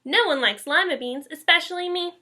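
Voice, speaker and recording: A woman's voice: very high-pitched, a little fast, sharp tone, voice pushed up, full character voice. A woman voicing a stubborn, anguished young schoolgirl in a storybook, speaking emphatically. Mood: disgusted. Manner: emphatic, exclaiming.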